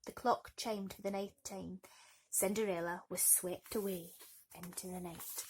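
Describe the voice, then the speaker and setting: A woman narrating a bedtime story in an expressive, theatrical way. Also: on the low side, unhurried, a little hiss or hum.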